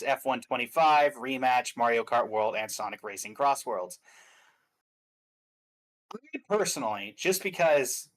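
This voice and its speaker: A man's voice: mid-range voice, a bit bright, rough recording. A man chatting casually and giving his opinions as a guest commentator on games. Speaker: guest commentator on game awards stream.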